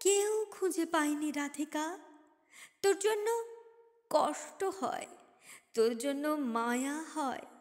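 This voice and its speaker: A woman recites poetry in a high voice, wrapped in long reverb. Her delivery is very slow and theatrical, with deliberate pauses and emotional swells that give each phrase weight. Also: fairly bright tone. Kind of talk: dramatic Bengali poem recitation.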